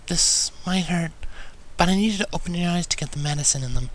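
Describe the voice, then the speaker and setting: Soft, sweet, timid girl pony performed by a male reader, high and hesitant, storybook reading. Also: fast talker. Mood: timid, apologetic. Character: timid, gentle, soft-spoken young female pony.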